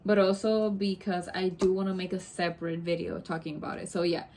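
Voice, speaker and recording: Chatty low-voiced woman, Spanish native. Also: level tone, brisk, darkish tone, slight background noise. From Puerto Rico. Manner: chatty, rambling, many asides and self-corrections. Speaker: vlogger. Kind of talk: casual chat to camera.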